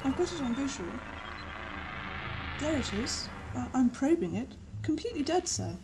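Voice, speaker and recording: A woman's voice: sits high, very animated, moderate pace, over music. A woman playing a wry, cynical scientist aboard a spaceship in a science-fiction audio drama, with a dry, sardonic manner. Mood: businesslike. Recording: noisy amateur 1980s audio drama tape.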